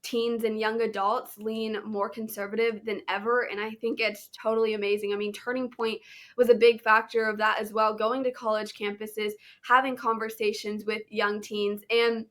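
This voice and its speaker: A woman's voice: on the high side, level tone, normal speed. A young American woman, a podcast guest, giving her opinions in an earnest, emphatic way. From United States. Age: teens. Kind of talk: podcast interview.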